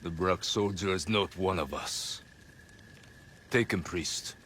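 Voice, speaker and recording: An actor playing a cold, bitter samurai lord in a video game, speaking with deliberate scorn. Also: male voice, sits low, a bit bright, slight background noise. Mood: bitter contempt. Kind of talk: dramatic video game cutscene acting.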